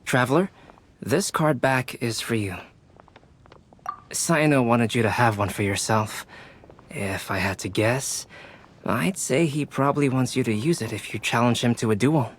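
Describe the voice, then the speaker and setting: Courteous, composed mid-pitched man voiced by an actor. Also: a little hiss or hum, lightly acted. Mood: courteous, calm. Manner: courteous, measured. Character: polite, composed, helpful young gentleman scholar. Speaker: video game character. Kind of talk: scripted game dialogue acting.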